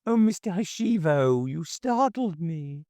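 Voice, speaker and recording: A man putting on a high, reedy Englishwoman, fussy and shrill. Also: very animated, measured pace, a little mellow, no room sound. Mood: startled, flustered. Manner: shrill, gossipy, indignant chatter. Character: nosy, bustling older English housekeeper woman, chattering and fussy. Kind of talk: audiobook character voice.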